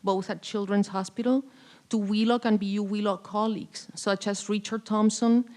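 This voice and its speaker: Woman, smooth clear voice, medium pitch, formal tribute, award presenter. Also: fairly even intonation, moderate pace, dark tone. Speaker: award presenter, faculty colleague. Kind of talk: prepared formal tribute speech.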